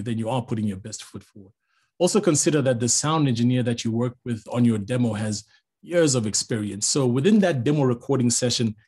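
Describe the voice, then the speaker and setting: A middle-aged man from Zambia, a voice-over artist giving an online master class in a relaxed, chatty way with small jokes, heard over a video call. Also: mid-range voice, husky.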